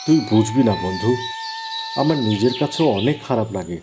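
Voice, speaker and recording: A man's voice: lowish voice, lively intonation, brisk, over music, voice pushed down, lightly acted. A man playing an earnest, wistful village farmer in a fairy tale cartoon, with a plaintive way of talking. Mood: downcast, longing.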